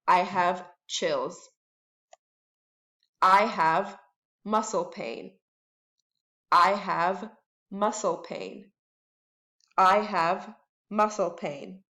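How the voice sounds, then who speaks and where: A woman teacher at home giving a bilingual lesson, saying each English phrase slowly several times and then translating it into Spanish. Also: mid-range voice, a bit bright. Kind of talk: bilingual classroom lesson, slow repeated drills.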